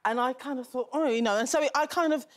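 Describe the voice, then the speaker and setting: Middle-aged woman author guest, earnest, a touch high. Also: fast. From England. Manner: thoughtful, earnest, laughs easily. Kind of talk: casual chat. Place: TV studio.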